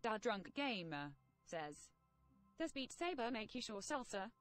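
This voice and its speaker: A text-to-speech woman's voice reading out live chat messages, with flat, synthetic delivery and odd stresses. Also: fairly high pitch, measured pace, no echo, faint music behind.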